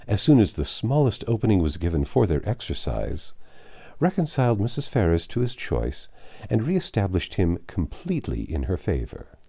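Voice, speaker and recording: A man reading a classic novel aloud in a measured, expressive way, with the odd slip and self-correction. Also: deep voice. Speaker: audiobook narrator.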